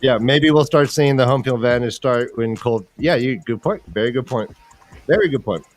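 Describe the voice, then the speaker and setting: A man's voice: mid-range voice, normal speed. A man hosting a fantasy football livestream with casual, jokey banter and game previews, rambling and repeating phrases for emphasis.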